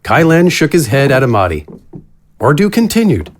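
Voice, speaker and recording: A man's voice: mid-range voice, huge pitch swings, normal speed. A man narrating a scripted fantasy story as its narrator.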